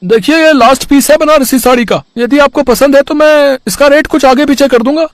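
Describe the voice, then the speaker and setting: A woman voicing an eager male sari shopkeeper making a persuasive sales pitch, in a raised, louder voice. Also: brisk, dry recording, natural voice.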